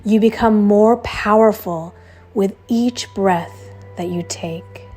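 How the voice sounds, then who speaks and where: A woman narrating a scripted guided meditation in a measured, soothing, instructional way. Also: medium pitch. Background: soft background music.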